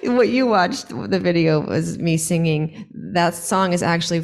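A woman who is a songwriter, chatting casually as an interview guest in an animated, joking, self-deprecating way. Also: measured pace. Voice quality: low singing voice.

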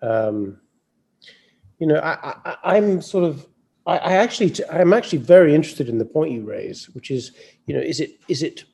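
A man who is a novelist speaking as a panel guest, halting and reflective, with stammered repeats. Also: fairly high pitch, lively intonation, gravelly, warm tone, breathy edge. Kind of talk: panel discussion.

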